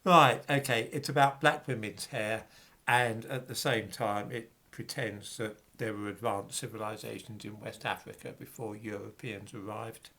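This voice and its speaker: A man's voice: fairly low pitch, fairly even intonation, normal speed, sharp tone. A middle-aged man reading passages from books aloud and commenting on them with dismissive, sarcastic asides.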